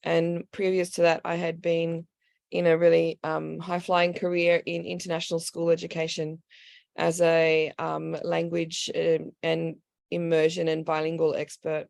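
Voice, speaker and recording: A woman with a lowish, gravelly voice introduces herself on a video call. She sounds cheerful and self-deprecating. The sound is dry and close. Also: monotone, unhurried, darkish tone, no room sound. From Australia. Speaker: online coach, former educator.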